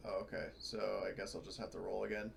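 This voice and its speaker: A gravelly, fairly low-voiced man explains tabletop game rules in casual conversation. A faint noise sits behind his voice. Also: flat delivery, quick pace.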